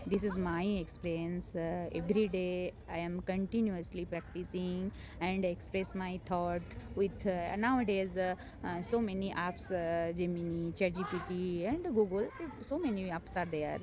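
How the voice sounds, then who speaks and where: Hesitant non-native English woman, lowish voice. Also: a little slow, few pauses, a little mellow, rough recording. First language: not English. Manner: hesitant, many fillers and restarts, encouraging. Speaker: homemaker sharing English-learning tips. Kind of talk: unscripted motivational talk to camera. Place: home.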